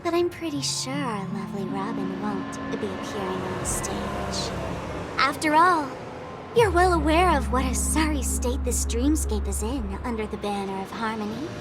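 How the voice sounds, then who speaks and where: A woman's voice: on the high side, lively intonation, moderate pace, close to an everyday voice. A woman voicing a mischievous, theatrical young female trickster in a video game, taunting and playful, with music behind her. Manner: mocking, drawling, playful, dramatic.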